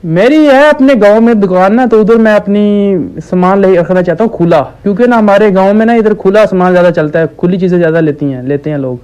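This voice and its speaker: A man playing a slow-witted, stubborn village shopkeeper on a deadpan prank call, hesitant and naively persistent. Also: high-pitched, clear, resonant tone, highs cut off, close to an everyday voice. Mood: earnest, dim. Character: dim, stubborn village shopkeeper ordering absurd medicine quantities. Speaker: prank caller.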